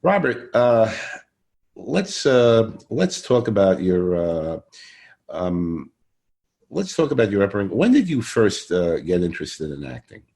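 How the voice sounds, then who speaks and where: An older man hosts a conversational interview, haltingly and often repeating his phrases. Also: fairly low pitch, big pitch swings, gravelly, a little mellow. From Brooklyn, New York. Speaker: podcast interviewer.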